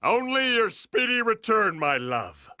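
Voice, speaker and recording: An actor playing a pompous, hot-headed young man in an animated comedy game, bossy and melodramatic. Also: high voice, unhurried, exaggerated voice. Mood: smug. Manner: bossy, melodramatic, barking orders.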